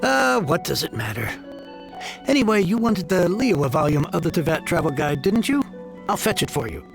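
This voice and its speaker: A man's voice: on the high side, sing-song, normal speed, gravelly, over music. A man playing an effusive, formal heir of a fallen merchant family in a video game, speaking earnestly and wordily. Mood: relieved, flustered.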